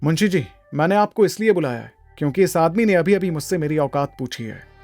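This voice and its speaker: A man's voice: a little high, lively intonation, fairly quick, darkish tone, faint music behind. A man playing a proud, secretly wealthy husband in an audio drama, composed, terse and commanding. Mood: quietly menacing. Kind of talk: Hindi audio drama acting.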